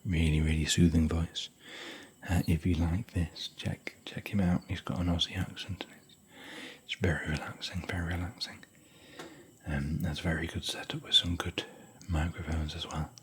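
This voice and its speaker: A man whispering softly in a rambling, chatty, gentle way while hosting an ASMR hotel room tour. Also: sits low, flat delivery, normal speed. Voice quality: soft whisper.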